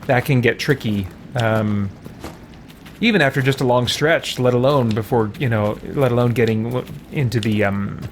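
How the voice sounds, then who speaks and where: A man streaming a game with casual commentary and chat replies, thoughtful and often pausing mid-sentence. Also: mid-range voice, a little hiss or hum. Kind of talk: casual livestream gameplay commentary, reading chat.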